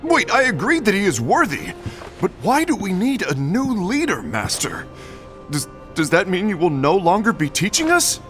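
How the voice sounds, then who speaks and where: Forceful, very animated proud apprentice. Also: male voice, high-pitched, moderate pace, soft background music, full character voice. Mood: uneasy, questioning. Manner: forceful, intense, dramatic. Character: earnest, proud, hot-headed male apprentice warrior. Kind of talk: animated game cutscene acting.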